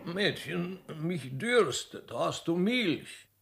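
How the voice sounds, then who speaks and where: A man's voice: high-pitched, sing-song, drawn-out words, vocal fry, voice pushed up, heavily acted. A man playing a pompous, condescending Austrian aristocrat of old, speaking in a stilted, lordly way in a comic radio play.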